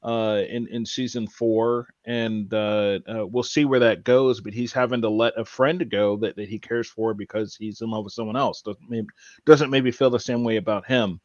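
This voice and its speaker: A man co-hosting a podcast, casually discussing a TV show and haltingly thinking aloud as he explains. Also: on the low side, fairly even intonation, no echo, muffled recording. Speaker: podcast co-host.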